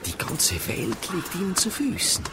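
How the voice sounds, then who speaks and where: A man's voice: sits high, wildly swooping pitch, normal speed, background noise, full character voice. A man playing a flustered, romantic artist in a radio drama, speaking with theatrical earnestness. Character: flustered, romantic, high-flown male artist.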